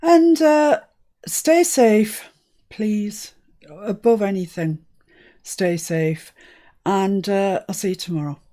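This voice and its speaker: A woman's voice: mid-range voice, takes it slowly, long pauses, warm tone. A woman born in Scotland hosting a live crafting stream, chatting casually and laughing often. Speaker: crafting channel host. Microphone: live-stream setup.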